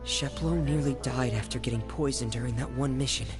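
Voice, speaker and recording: An actor voicing a shy, reserved young man in a game cutscene, speaking softly and haltingly over game music. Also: medium pitch, natural voice. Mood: guarded. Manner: soft, hesitant, halting. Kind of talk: video game cutscene voice acting.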